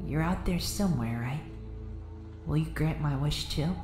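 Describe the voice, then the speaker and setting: A woman voicing a frightened, abused young boy in a dramatic manga dub, timid, halting and pleading. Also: sits low, a little mellow. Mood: subdued longing. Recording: echoey, music behind the voice.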